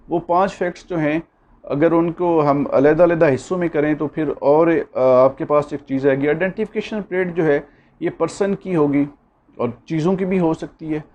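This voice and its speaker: A man's voice: a little high, a little mellow. A man with a Pakistani accent giving an informal law lecture, instructively reminding students of points to remember.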